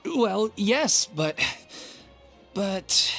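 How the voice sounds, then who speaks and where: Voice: medium pitch, sing-song, very slow, vocal fry, a bit bright, exaggerated voice. An actor voicing an earnest, modest member of an amateur theatre troupe in a video game, polite and a little hesitant. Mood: doubtful. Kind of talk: English video game voice acting. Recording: game audio, faint music behind.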